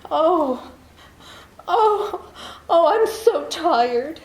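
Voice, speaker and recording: A woman's voice: very high voice, expressive, very slow, heavily acted. A woman playing a beguiling, deceitful young woman in trouble in a 1940s-style radio play, coy and pleading. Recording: echoey room.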